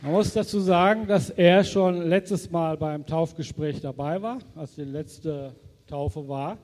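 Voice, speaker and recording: A male pastor interviewing people at a baptism service, enthusiastic and quick to say wow and amen. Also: fairly high pitch, a little mellow. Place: church service. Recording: long church reverb.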